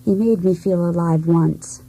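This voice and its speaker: Husky, dark-toned disillusioned wife. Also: female voice, on the low side, rough recording. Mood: mournful. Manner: deliberate, introspective, theatrical. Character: cold, disillusioned wife determined to break free. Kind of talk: radio drama monologue.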